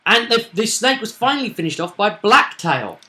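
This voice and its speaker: A man with a fairly high, bright and forceful voice talks through a tabletop roleplaying session as its game master. He explains in a casual, halting way, thinking aloud as he goes. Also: sing-song.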